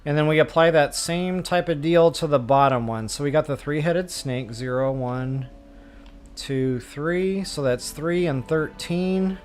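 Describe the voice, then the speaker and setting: Man with folksy, higher-pitched game commentary. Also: unhurried. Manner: folksy, informal, thinking aloud. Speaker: game walkthrough host. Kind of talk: casual gameplay commentary, explaining as they play. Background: game music behind the voice.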